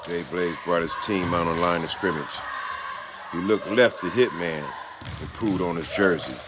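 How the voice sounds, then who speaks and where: A man's voice: deep voice, steady tone, moderate pace, noisy background. A man giving a dramatic scripted reading as an audiobook narrator.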